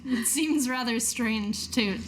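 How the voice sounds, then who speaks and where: A dutiful, naive adventurer from a fantasy game, voiced by a woman, speaks hesitantly in a slightly high voice. She is understated and unsure of the dangerous life. The recording has clear background noise. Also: slow. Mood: doubtful.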